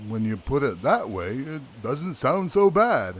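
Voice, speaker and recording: A man as an animated, jolly old Santa Claus. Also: mid-range voice, unhurried, a little hiss or hum. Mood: gruff stubbornness. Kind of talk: comedy audio drama acting.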